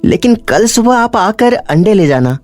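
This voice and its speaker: A woman's voice: medium pitch, big pitch swings, measured pace, a little mellow, soft background music. A woman voicing a humble young village brother in a Hindi cartoon story. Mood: worried.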